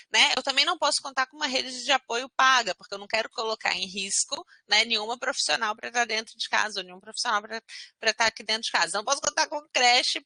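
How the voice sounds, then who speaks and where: A woman's voice: on the high side, sharp tone, dry recording. A woman in her thirties from Minas Gerais with a Belo Horizonte accent, a university professor giving an online class from home over a video call, conversational and dotting her sentences with "né?". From Minas Gerais, Brazil. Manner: conversational, frequent "né?" tags.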